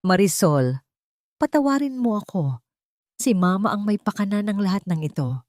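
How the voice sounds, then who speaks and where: A woman's voice: medium pitch, lively intonation, normal speed, a little mellow, no echo, natural voice. A woman narrator acts out a disgraced husband from a melodramatic audio story. He pleads desperately and begs to be heard and forgiven. The recording is dry and close. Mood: pleading.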